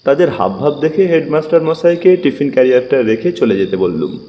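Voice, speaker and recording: Male audio-story narrator, mid-pitched and dark-toned, expressive and measured, reverberant, with a faint music bed. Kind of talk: dramatized first-person story narration.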